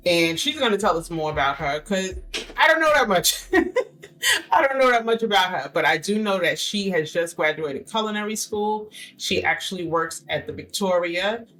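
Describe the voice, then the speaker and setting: Bright-voiced chatty woman podcast host. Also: medium pitch, animated, normal speed, soft background music, poor audio. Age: middle-aged. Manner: chatty, laughs often, restarts sentences. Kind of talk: casual interview chat.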